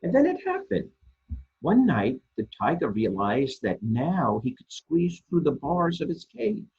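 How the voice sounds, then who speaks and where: A man reading children's picture books aloud as a storytime host, expressively and deliberately, with dramatic pauses and a husky voice. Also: on the high side, huge pitch swings, a little mellow, dull audio. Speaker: storytime reader for a library.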